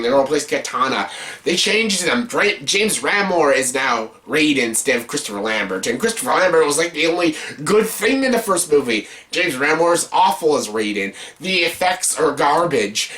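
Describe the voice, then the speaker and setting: A man's voice: a little high, fairly bright tone. A man hosting an opinionated, unscripted countdown review, speaking bluntly and emphatically in an exasperated way, with casual swearing and words repeated for stress. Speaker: host of a movie countdown video.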